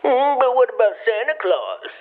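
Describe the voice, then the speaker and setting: A grumpy grown man in a radio play, formal and a little halting. He comes through a narrow, tinny telephone line that strips away the body of the sound. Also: very high-pitched, wildly swooping pitch, heavily acted. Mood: hesitant, testy. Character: grumpy adult male neighbour caller, softening to grateful. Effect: telephone filter. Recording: thin, band-limited phone-call sound.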